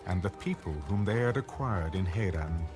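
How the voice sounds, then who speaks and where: A man's voice: low-pitched, expressive, moderate pace. A man narrating scripture as a scripted audio Bible reading, with music playing behind him. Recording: music under the voice.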